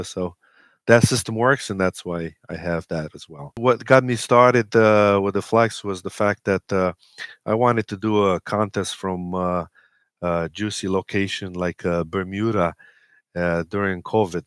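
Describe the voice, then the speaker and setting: A man from Romania talking casually and technically as an interview guest, with enthusiasm and a hesitant, halting delivery. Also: low-pitched, no echo, clean studio sound. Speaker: interview guest, amateur radio expeditioner.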